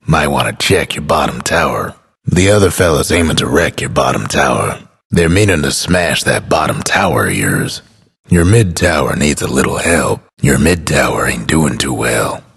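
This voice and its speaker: Very deep, husky folksy narrator. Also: male voice, fairly bright tone, exaggerated voice. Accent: American. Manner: folksy, unhurried, understated. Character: laconic folksy drawling male narrator, wry and world-weary. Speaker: game announcer. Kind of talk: scripted game announcer lines.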